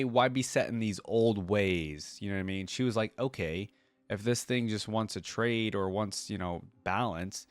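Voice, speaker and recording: A man giving casual reaction commentary as a video host, informal and thinking out loud. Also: lowish voice, no echo. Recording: music behind the voice. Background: game cinematic and music playback.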